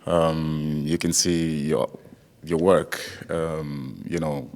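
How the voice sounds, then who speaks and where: A man from DR Congo who grew up in South Africa, chatting casually as a guest, halting and repeating his phrases. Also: rumbling low, level tone, gravelly, darkish tone. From born in DR Congo, raised in South Africa. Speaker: interview guest, MMA fighter. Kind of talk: casual chat. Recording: reverberant hall-like room.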